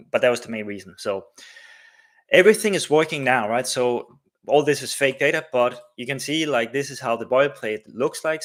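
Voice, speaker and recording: Guy rambling through a live coding stream, full of uhs and ums, medium pitch. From Denmark. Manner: rambling, many fillers and restarts. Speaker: livestream host, developer.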